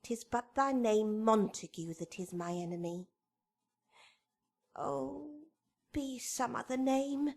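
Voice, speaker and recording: An actress playing a young lovestruck noblewoman in a Shakespearean radio drama, speaking measured verse. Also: fairly high pitch, expressive, creaky, a little mellow, full character voice. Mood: yearning. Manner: slow, measured verse delivery.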